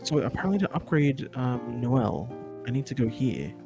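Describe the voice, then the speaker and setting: A man's voice: mid-range voice, expressive, normal speed, vocal fry. A man in casual gaming voice chat, talking informally and full of questions. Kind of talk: casual voice chat during gameplay. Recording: loud game music under the voice. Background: game music.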